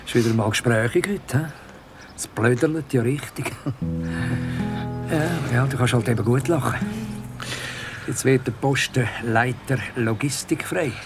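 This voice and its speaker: An actor with a Swiss German accent playing a chatty, grumbling office worker in a radio drama, talking in a colloquial, animated way. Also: male voice, mid-range voice, unhurried, long pauses, over music, natural voice. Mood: grumbling, confiding. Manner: colloquial, animated griping with tag questions. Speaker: office worker in materials management.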